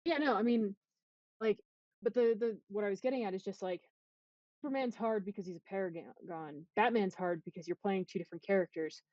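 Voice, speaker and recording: A woman's voice: mid-range voice, fairly quick, mellow, dry recording. A woman chats casually as a podcast host, arguing her points with emphasis. Her voice is close and dry, with a slightly muffled, lo-fi voice chat sound.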